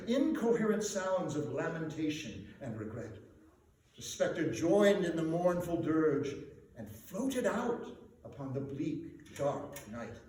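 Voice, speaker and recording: A man narrating classic fiction as a dramatic storyteller, with theatrical, measured and deliberate phrasing. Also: a little high, dark tone. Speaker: storyteller, performer. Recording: echoey room, distant mic, faint noise.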